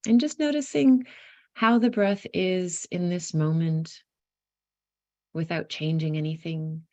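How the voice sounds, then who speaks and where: A woman leading a guided meditation, giving gentle instructions in an unhurried, softly inviting way with pauses mid-phrase. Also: on the low side, fairly even intonation, no room sound.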